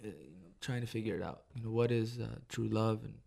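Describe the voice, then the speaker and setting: A man from Detroit, a musician guest on live radio, talking in a reflective, halting way with many restarts. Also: fairly low pitch, fairly even intonation, vocal fry, a little mellow. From Detroit, United States. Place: radio studio.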